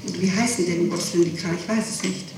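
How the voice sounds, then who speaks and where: A woman's voice: fairly low pitch, steady tone, racing. A middle-aged woman answering questions in an interrogation, hesitant, repeating and correcting herself. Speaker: witness under questioning. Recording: echoey, distant, noisy 1960s archive tape.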